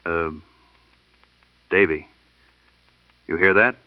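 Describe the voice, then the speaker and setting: A man's voice: sits low, very slow, dry recording, narrow, tinny audio. A man playing a skeptical, loyal frontiersman sidekick in an audio drama, speaking in a folksy way. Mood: incredulous. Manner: folksy, incredulous. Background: music.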